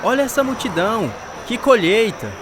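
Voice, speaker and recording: An actor playing a young member of a street evangelism group in a radio drama. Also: male voice, high voice, sing-song, moderate pace. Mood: excited. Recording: noisy outdoor ambience.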